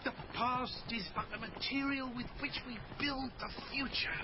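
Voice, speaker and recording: A man's voice: very high voice, fairly even intonation, normal speed, dull audio, very noisy. An actor playing a grandiose, flamboyant father of a troupe of poor players, declaiming floridly in a period radio drama. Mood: grand, doting.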